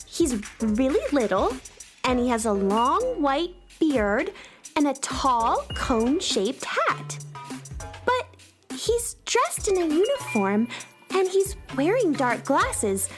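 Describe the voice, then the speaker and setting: Casting: actress as curious young female space adventurer for kids' radio play, very high voice, unhurried, animated. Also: faint music behind, full character voice. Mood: wary, wondering. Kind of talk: children's audio drama acting.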